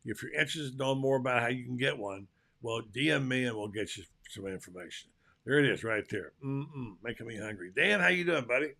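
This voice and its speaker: An older man hosting a live show in a chatty, folksy way, calling out loudly to his guests. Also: mid-range voice, lively intonation, a little fast, creaky. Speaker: host. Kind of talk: casual live show chat.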